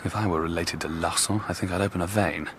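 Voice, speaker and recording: An actor playing a brash, cheeky French newspaper journalist in a period radio drama, with a blunt, theatrical, teasing manner. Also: male voice, low-pitched, fairly even intonation, fast, noisy background. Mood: curious.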